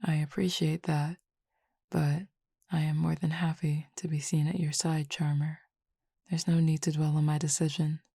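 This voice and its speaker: A woman voicing a composed, formal supernatural cupid roommate who speaks in precise, measured words and teases gently. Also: deep voice, level tone, vocal fry, slightly rough, no echo, studio quality, heavily acted. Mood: calm. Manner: precise, formal wording, measured and unhurried, soft asides. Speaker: voice actor in an audio roleplay. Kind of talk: audio roleplay addressed to the listener.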